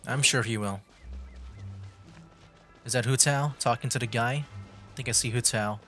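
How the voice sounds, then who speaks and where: A woman's voice: rumbling low, normal speed, fairly bright tone. A woman livestreamer giving casual, dry, understated commentary while she plays a game. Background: game music.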